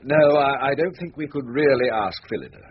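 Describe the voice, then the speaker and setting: A man's voice: medium pitch, expressive, brisk, muffled recording, a little hiss or hum, natural voice. An actor playing a nervous, hapless and pompous British male barrister in a radio drama, hesitant and flustered. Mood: anxious, evasive.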